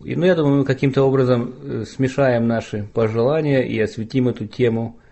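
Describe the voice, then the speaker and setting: A man's voice: medium pitch, brisk, creaky. Chatty male podcast host. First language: Russian. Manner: relaxed, chatty, teasing questions. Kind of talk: casual podcast conversation. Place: home studio, remote call.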